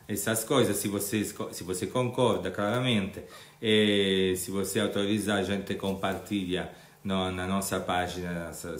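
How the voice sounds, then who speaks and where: Italian man speaking Portuguese, echoey room. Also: on the low side. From Italy. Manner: hesitant, restarts often. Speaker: interviewer and channel host. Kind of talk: casual online interview.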